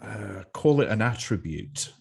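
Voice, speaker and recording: A man's voice: lowish voice, animated, a little slow, vocal fry, husky. A man hosting a podcast, talking reflectively in a casual interview, often repeating words as he thinks. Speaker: podcast host.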